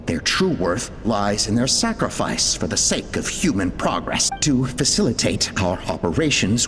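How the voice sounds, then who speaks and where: Slow, echoing, zealous researcher. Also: male voice, medium pitch, slight background noise. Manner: grave, deliberate, declamatory. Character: solemn, zealous male researcher recording experiment logs. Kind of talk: dramatic game log narration. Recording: long reverb with music behind.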